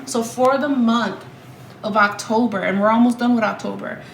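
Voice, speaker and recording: A woman's voice: fairly high pitch, fairly even intonation, moderate pace, vocal fry, a little hiss or hum, rough recording. A woman doing a tarot reading straight to her viewers, talking emphatically and repeating herself. She is still getting over a cold. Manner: repetitive, emphatic, speaks to viewers directly. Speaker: tarot reader. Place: home room.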